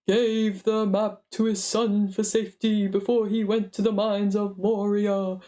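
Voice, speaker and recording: A stern old wizard voiced by a woman speaks with weighty emphasis and little patience. The tone is dark and soft-edged, a grave storybook figure. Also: mid-range voice, level tone, a little slow, natural voice. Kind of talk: dramatic book reading.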